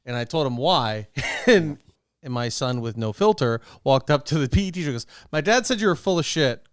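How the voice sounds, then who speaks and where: A man's voice: a little high, animated, fairly quick, clear, resonant tone, no room sound, clean studio sound. A middle-aged man co-hosting a podcast, chatting casually and telling everyday anecdotes. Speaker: podcast co-host.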